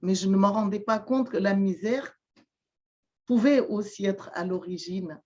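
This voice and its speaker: A woman from Madagascar, not a native French speaker, talks very slowly in an interview. She hesitates, stacks up fillers and repeats words as she searches for them. The sound is a bit dull and lo-fi. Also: mid-range voice, darkish tone. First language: Malagasy. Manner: slow, halting, many fillers and repeated words. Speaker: guest, shamanic therapist. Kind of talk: interview answers.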